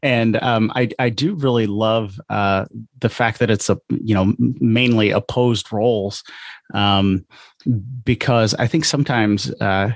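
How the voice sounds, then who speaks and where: Male podcast host, middle-aged. Lowish voice, casual, hesitant phrasing, dry studio-like sound. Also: steady tone, dry recording. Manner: halting, many hesitations. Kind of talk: casual podcast interview chat.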